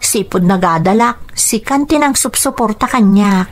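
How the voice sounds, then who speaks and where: A woman playing a grateful, earnest high school girl in an Ilocano radio drama, with an Ilocano accent and polite delivery. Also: expressive, moderate pace, natural voice.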